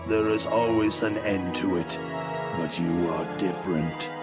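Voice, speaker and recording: An actor playing a menacing, cryptic mystic villain in a video game, taunting in a theatrical, portentous manner. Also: male voice, low-pitched, fairly even intonation, measured pace, over loud music. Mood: ominous. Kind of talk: dramatic video game voice acting.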